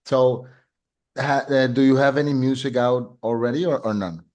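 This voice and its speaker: A man's voice: mid-range voice, fairly even intonation, moderate pace, a little mellow. A man hosting a podcast, asking short questions with stammered restarts. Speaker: podcast host. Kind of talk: casual interview talk.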